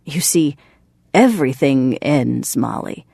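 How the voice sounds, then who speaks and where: A woman reading the part of a kindly, philosophical man in a storybook, gently and reflectively. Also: a bass voice, expressive, slow pace, vocal fry, no echo, clean studio sound, exaggerated voice. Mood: reflective, gentle.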